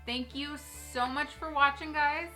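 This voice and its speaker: A woman's voice: high-pitched, fairly even intonation, unhurried, bright, forceful tone. A woman hosting a casual, comedic fairy-tale retelling, chatting to viewers with wry asides. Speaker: host, storyteller. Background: faint music.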